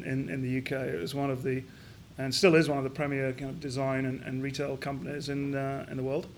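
A man in his fifties from Yorkshire, answering interview questions reflectively with frequent pauses, fillers and restarts. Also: medium pitch, a little hiss or hum. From Yorkshire, England. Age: 50s. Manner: hesitant, many restarts and fillers. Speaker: business founder guest.

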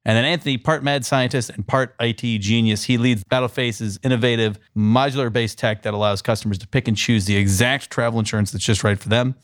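A man's voice: mid-range voice, steady tone, a little fast, few pauses, no echo, studio quality. A man hosting a casual podcast, reading an introduction and then chatting easily with his guests. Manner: reads a sponsor intro, then chats loosely with laughs. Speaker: podcast host.

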